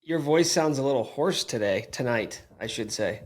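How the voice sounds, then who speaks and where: A man's voice: medium pitch, lively intonation, moderate pace. A man hosting a casual sports podcast, talking in a playful, teasing, mock-bossy way. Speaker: podcast host.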